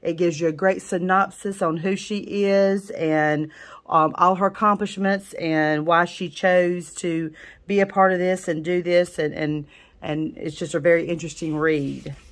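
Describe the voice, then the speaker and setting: A woman talks through a salon business book in a relaxed, chatty way. Her voice sits on the lower side, and she keeps adding fillers and restarting her sentences as she goes. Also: unhurried. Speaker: nail technician reviewing a book. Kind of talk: casual book review.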